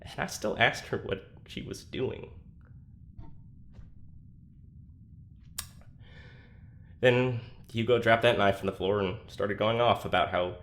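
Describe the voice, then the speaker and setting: An actor playing a troubled, anxious young man in a confessional audio drama, speaking haltingly with self-deprecating, dry sarcasm. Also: on the low side, fairly bright tone, slight background noise. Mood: agitated, shaken.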